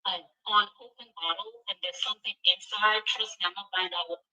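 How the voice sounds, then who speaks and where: An echoey, slightly distant live stream recording of a woman with a Nigerian accent. Her voice is very bright and sharp, and she chats casually to her viewers. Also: medium pitch, fairly even intonation, brisk. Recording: echoey room, distant from the mic.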